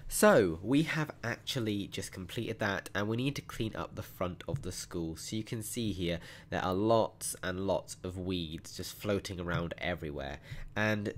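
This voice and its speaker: A deep-voiced young man, croaky and hoarse from a sore throat. He keeps it chatty and upbeat as he walks through a mobile game, filling gaps with ums. Loose, friendly commentary. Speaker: mobile game channel host. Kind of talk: casual gameplay walkthrough commentary.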